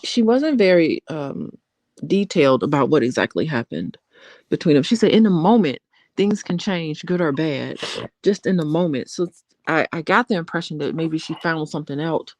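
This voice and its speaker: A woman's voice: low-pitched, expressive, moderate pace, darkish tone, no echo. A woman co-hosting a casual live-stream chat as a relationship therapist, thoughtful and a little hesitant. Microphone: close mic at home, in bed.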